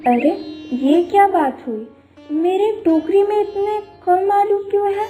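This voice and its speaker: Woman acting a very high-pitched, whiny young sister-in-law. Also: a little slow, music in the background. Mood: suspicious, scheming. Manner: complaining, sarcastic, melodramatic. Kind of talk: Hindi animated moral story acting.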